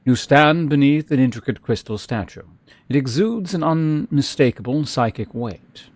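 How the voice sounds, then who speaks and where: A man giving casual game commentary as a streamer, musing in an unhurried, hesitant way and reading game text aloud. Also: medium pitch, lively intonation. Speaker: live streamer playing a video game.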